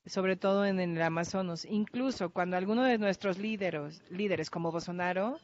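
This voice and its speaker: Mujer con acento brasileño, habla pausada. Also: female voice, medium pitch. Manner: careful, hesitant, with restarts and fillers. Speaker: researcher presenting at a workshop. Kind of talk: conference talk. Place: large conference hall. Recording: dull lo-fi hall recording.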